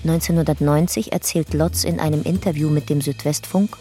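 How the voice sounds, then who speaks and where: A woman's voice: low voice, fairly even intonation, brisk, dark tone, soft background music, close to an everyday voice. A woman narrating a documentary radio play in a matter-of-fact, scripted way. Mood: factual.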